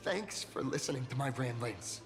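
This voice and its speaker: A man's voice: mid-range voice, lively intonation, moderate pace, over music, close to an everyday voice. A man voicing an eager, starstruck young male fan in a video game, earnest and a little nervous. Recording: game audio with long reverb.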